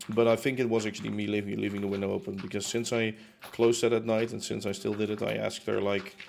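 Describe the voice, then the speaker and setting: A man's voice: on the low side, flat delivery, fast, vocal fry, darkish tone, a little hiss or hum. A young man streaming from his apartment as he builds in a game, chatting casually and rambling with lots of fillers and restarts. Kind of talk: casual chat while building. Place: home room in a concrete apartment.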